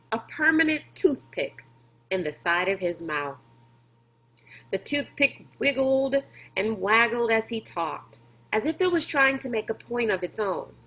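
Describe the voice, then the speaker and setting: A woman narrating a children's storybook aloud like a teacher to pupils, in a deliberate, expressive way. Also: a little high, poor audio.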